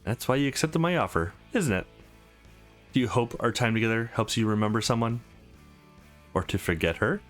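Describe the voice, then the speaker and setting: A man's voice: mid-range voice, normal speed, long pauses, fairly bright tone, faint music behind, lightly acted. A man voicing a flirtatious, conflicted married woman in a visual novel, frank, teasing and confessional. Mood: frank, probing.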